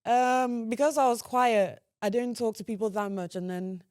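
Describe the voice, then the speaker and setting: A woman's voice: on the high side, moderate pace, dry recording, clean studio sound. A young woman from Nigeria, a podcast guest telling stories in casual chat and laughing often. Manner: laughs often, relaxed storytelling.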